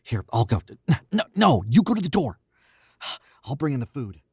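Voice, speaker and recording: A man's voice: mid-range voice, big pitch swings, moderate pace, darkish tone, husky, no echo, clean studio sound, heavily acted. Man playing a smug, domineering radio-drama murderer. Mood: sharp, alarmed. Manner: condescending, needling, self-assured. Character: cool, domineering young murderer, smug and suave. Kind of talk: old-time radio drama acting.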